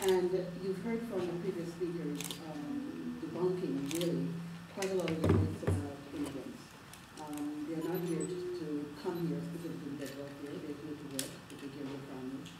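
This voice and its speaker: A middle-aged woman with a Jamaican accent, giving an earnest, halting town hall speech full of fillers and restarts. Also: sits low, steady tone, far from the mic. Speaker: civil rights advocate speaking at a town hall. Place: public meeting hall. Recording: roomy hall recorded from afar, noisy.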